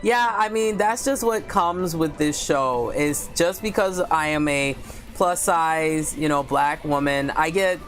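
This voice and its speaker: Woman with a deep voice, slow and unhurried, chatty livestream host, faint music behind. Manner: chatty, candid, reads chat comments aloud; speaks slowly with many fillers. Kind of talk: casual livestream chat.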